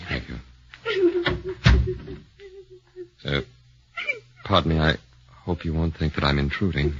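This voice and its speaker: An actor playing an earnest, flustered defense lawyer who speaks hesitantly, in a 1940s radio drama. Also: male voice, a bass voice, fairly even intonation, normal speed, a bit bright, a little hiss or hum. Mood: polite, uneasy. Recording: dull lo-fi 1940s radio broadcast.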